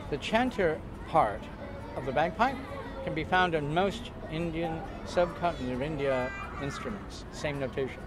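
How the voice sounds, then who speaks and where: An older man in casual conversation outdoors on a waterfront pier, talking in a chatty, theatrical, joking way. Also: on the high side. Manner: chatty, theatrical, jokey with bursts of loud laughter. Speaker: interviewee. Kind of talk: casual storytelling. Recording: outdoor street noise behind.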